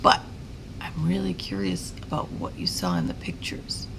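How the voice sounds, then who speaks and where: A middle-aged woman, a teacher, chatting casually to young pupils in an animated, questioning way. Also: low-pitched, moderate pace. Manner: animated, questioning, addressing children. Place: school classroom, indoors. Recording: very noisy classroom recording.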